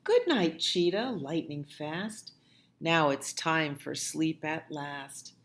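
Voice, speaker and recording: A woman with a low voice leads a storytime for small children. She takes her time, speaking very slowly with a gentle, expressive lilt. Her delivery is sing-song and often softens toward a hush. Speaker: children's librarian and storytime host. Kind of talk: children's storytime with read-aloud and nursery rhymes.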